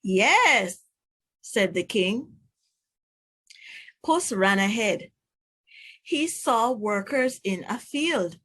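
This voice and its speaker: A woman teacher narrating a children's story aloud in a slow, clear storytelling manner. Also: mid-range voice, lively intonation, a bit bright.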